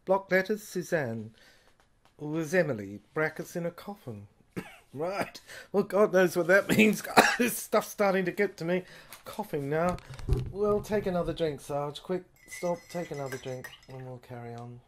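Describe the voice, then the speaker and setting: Woman playing a slow, halting archive researcher. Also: on the low side, slight background noise, close to an everyday voice. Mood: weary, baffled. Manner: halting, repeats phrases, reads fragments out. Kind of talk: amateur serial audio drama acting, halting read-aloud dialogue.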